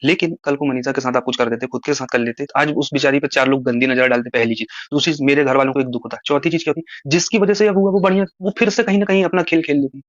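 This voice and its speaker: Fast-talking man lecturing on a dull, dry phone call recording. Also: mid-range voice, fairly even intonation, no echo. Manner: rapid, pleading, lecturing. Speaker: caller.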